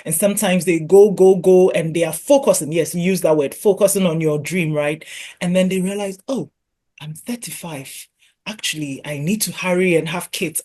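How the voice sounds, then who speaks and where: Podcast host: woman, slightly low voice, casual and conversational, reasons out loud before asking.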